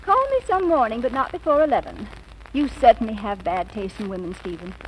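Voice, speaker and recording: An actress playing a sharp-witted, possessive secretary in a 1940s radio comedy, with a brisk, arch, scolding way of talking. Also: female voice, fairly high pitch, expressive, close to an everyday voice. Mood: indignant. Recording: noisy, hissy old radio broadcast.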